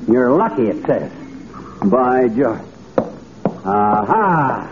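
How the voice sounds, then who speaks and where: Excitable middle-aged folksy grumbler, animated vintage radio comedy delivery, noisy narrow-band sound. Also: male voice, medium pitch, drawn-out words. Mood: indignant grumbling. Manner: indignant folksy exclamations and colorful grumbling. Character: excitable, blustering, folksy man with big grumbles. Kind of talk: 1940s-50s radio comedy acting. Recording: tinny, noisy old radio recording.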